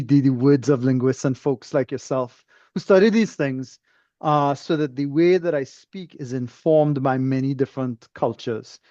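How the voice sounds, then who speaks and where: A man's voice: medium pitch, dark tone, dry recording. A Trinidadian man musing discursively as a podcast guest, heard through slightly muffled, very dry audio. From Trinidad and Tobago. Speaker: interview guest, engineer. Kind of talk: conversational, reflective interview.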